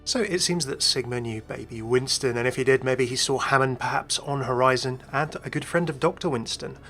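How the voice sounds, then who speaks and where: A man presents video game commentary in a medium-pitched voice with noticeable creak. He muses aloud and addresses viewers in conversational asides. Light music plays underneath. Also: fairly quick, a bit bright. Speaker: host of a video game lore channel. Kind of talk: scripted-sounding video commentary.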